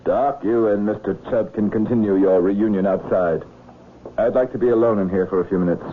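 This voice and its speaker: An actor playing a cool, methodical male investigator with a measured, probing manner, in vintage radio drama style. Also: lowish voice, close to an everyday voice. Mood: businesslike. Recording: phone-like, noisy vintage radio recording.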